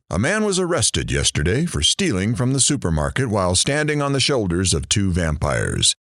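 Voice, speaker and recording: A man's voice: lowish voice, a bit bright, no room sound, heavily acted. A man playing a self-deprecating, dry-witted morning radio host presenting mock news in an audio drama.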